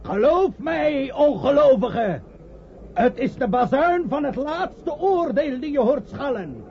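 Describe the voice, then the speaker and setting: Through a muffled, noisy old radio recording comes a fanatical preacher, his voice pitched extremely high. He accuses and warns sinners in solemn, drawn-out declamation. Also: lively intonation, heavily acted. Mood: fervent. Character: fanatical male street preacher warning sinners of doom. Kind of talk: declamatory radio-play sermon.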